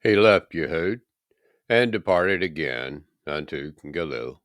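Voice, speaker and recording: A man with a very low voice reads scripture aloud. He goes very slowly and solemnly, leaning on key words with dramatic emphasis. The sound is dry and close, with no room around it. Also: expressive, a bit bright, no room sound. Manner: measured, emphatic, dramatic phrasing. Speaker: scripture reader. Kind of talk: solemn scripted Bible reading.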